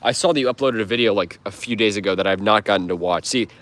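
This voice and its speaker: A man's voice: lowish voice, quick pace, fairly bright tone. A man with a stuffed-up cold voice, chatting casually on a livestream, rambling with lots of fillers and restarts. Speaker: livestream host.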